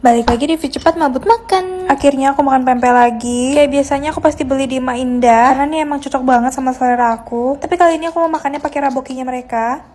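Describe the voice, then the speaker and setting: Female food vlogger, chatty and slangy, very rapid delivery over faint background noise. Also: high-pitched, a little mellow. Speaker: food video host. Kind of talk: casual food review voice-over.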